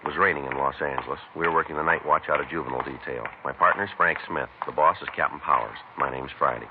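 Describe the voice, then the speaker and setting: An actor playing a terse police detective sergeant who narrates a 1950s radio crime drama in a flat, clipped, deadpan way. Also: male voice, rumbling low, fast talker. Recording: lo-fi vintage radio broadcast with noise.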